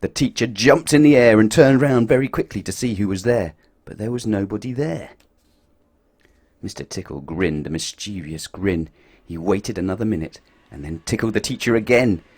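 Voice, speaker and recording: A man reading a picture book aloud to children, playful and expressive, swinging his voice up and down for effect. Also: moderate pace. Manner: expressive, playful storytelling with big swings in pitch and loudness.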